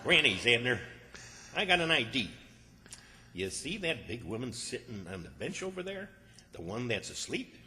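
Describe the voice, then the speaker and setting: Actor as excitable man, bright voice. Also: mid-range voice, moderate pace. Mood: scheming, hushed. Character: exasperated, scheming rural old-time comedy man. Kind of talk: old-time radio comedy acting. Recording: reverberant hall stage recording.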